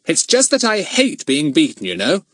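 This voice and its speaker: A man's voice: fairly high pitch, wildly swooping pitch, normal speed, fairly bright tone, dry recording, voice pushed up, exaggerated voice. A self-assured boy detective in a children's storybook, played by a male reader. He talks solemnly, with grown-up authority. The intonation moves widely and the sound is dry. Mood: rueful.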